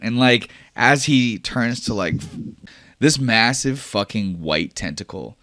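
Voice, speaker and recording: A man's voice: mid-range voice, animated, takes it slowly, a bit bright, no echo. A man improvising horror narration as a tabletop game master, casual, emphatic and swearing freely. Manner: casual, profanity-laden, emphatic.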